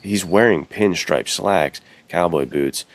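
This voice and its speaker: A man with an extremely low voice that crackles with fry talks in a loose, slangy podcast style. His phrasing is bro-like and conversational, as a co-host swapping opinions. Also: takes it slowly. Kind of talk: casual podcast chat.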